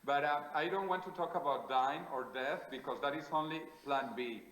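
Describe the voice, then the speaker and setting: A man's voice: on the high side, a bit bright. Spanish-accented man in an echoey room. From South America. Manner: measured, declarative, frequent "uh" pauses. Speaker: guest, futurist. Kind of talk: interview answers.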